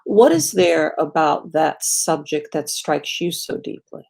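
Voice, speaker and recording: A woman's voice: deep voice, animated, a little slow, gravelly, darkish tone. A woman moderating a book panel as a critic, asking questions hesitantly with many ums and restarts.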